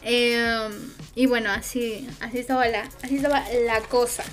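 A woman's voice: a little high, steady tone, moderate pace, soft background music. A woman with a Mexican accent chatting casually on a stream, rambling and hesitant with lots of fillers.

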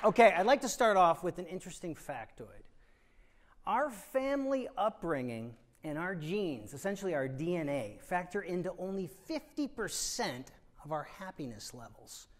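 A man in his forties from New England, giving an animated, emphatic motivational speech on a large auditorium stage. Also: high-pitched, moderate pace. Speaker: keynote speaker.

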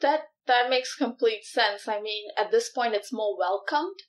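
A woman's voice: a little high, unhurried. Woman from malaysia in her 30s, ceo being interviewed, lots of ums, laughs easily. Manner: thoughtful, with many fillers and laughs. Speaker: startup CEO. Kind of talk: in-person interview.

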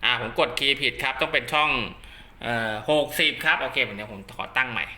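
A man's voice: medium pitch, brisk, pushed and bright, roomy sound. A man casually demonstrating equipment step by step, chatty and polite, like a seller testing a product for a buyer.

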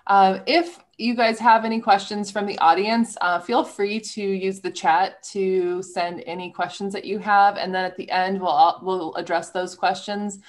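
A woman's voice: medium pitch, fairly even intonation, measured pace, few pauses. Woman moderating a webinar panel. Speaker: panel moderator, study abroad director. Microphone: video call.

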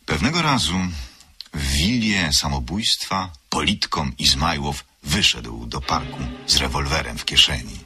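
Very deep, husky radio narrator, a man. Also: lively intonation, pushed and bright, faint music behind. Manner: measured, literary storytelling. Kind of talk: scripted narration for radio drama.

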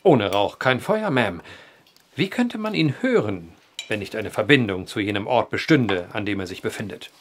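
Earnest investigator, animated measured delivery. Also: male voice, on the low side, slight background noise. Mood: earnest, deliberate. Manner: formal, measured, old-fashioned phrasing. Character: earnest, methodical man investigating vanishings, polite storyteller. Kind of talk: period horror audio drama acting.

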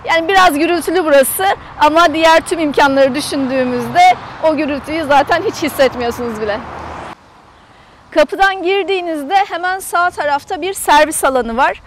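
Female travel vlogger, high voice, chatty and explanatory, casual walk-around tour delivered outdoors. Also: a little fast, a little hiss or hum. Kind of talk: casual guided tour to camera. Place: outdoors in an open camper park lot.

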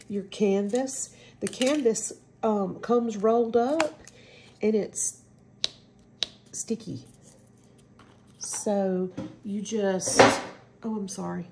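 A woman's voice: mid-range voice, gravelly, mellow, slight background noise. A middle-aged woman with a stuffy cold, chatting casually through a craft demo at home and rambling with lots of ums. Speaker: crafting channel host.

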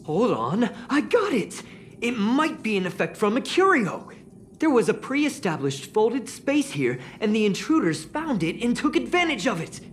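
A woman voicing an earnest, excitable young investigator in a sci-fi video game, expressively thinking aloud. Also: on the high side, normal speed, a little hiss or hum. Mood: curious, analytical. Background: background music.

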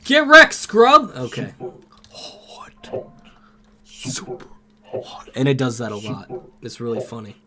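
A young man with a cold, streaming a game from home on a headset and greeting his chat by name with excited outbursts. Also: medium pitch, takes it slowly, long pauses. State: has a cold.

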